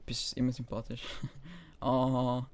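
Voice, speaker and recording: A young male streamer speaks with a Swiss German accent in a medium-pitched voice. His delivery is casual gaming livestream chat, peppered with English gamer slang as he talks to his viewers. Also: takes it slowly. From German-speaking Switzerland. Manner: slangy, mixing English gaming terms into speech. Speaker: live streamer. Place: indoors at a computer, keyboard typing behind.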